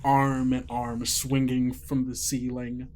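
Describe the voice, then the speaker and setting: A man speaks as a podcast game master in an informal, descriptive, sometimes halting way. His pitch is medium and his pace is slow, with faint background noise. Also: monotone, a little mellow. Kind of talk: narrating and hosting an actual-play tabletop podcast.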